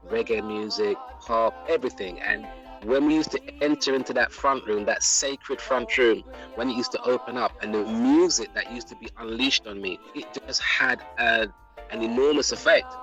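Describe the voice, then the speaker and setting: A middle-aged man with a South London accent, a singer being interviewed, telling his story in an unhurried, reflective way. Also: mid-range voice, fairly bright tone, highs cut off. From England. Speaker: guest singer. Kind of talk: relaxed interview talk.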